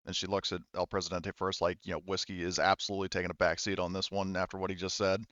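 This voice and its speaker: A man's voice: sits low, little pitch movement, fast, fairly bright tone, dry recording, lo-fi sound. A man working as game master, casually improvising narration for a tabletop roleplaying game.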